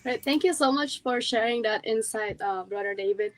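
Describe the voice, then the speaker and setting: A woman with a slightly higher voice than most speaks casually in a group talk. She leans on fillers such as "like" and "um" as she goes. Her recording sounds dry and close, with little room sound. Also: moderate pace, no room sound. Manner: filler-heavy, uses "like" often. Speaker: co-host passing the turn.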